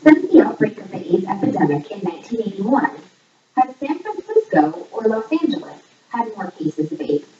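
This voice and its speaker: A woman's voice: big pitch swings, moderate pace, warm tone, a little hiss or hum. Echoey, distant woman presenting. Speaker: presenter reading quiz questions and answers. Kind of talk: scripted voice-over narration, trivia quiz for a fundraiser. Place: voice audio played back in a room during filming. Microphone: far camera picking up played-back audio. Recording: echoey, distant, muffled low-quality playback.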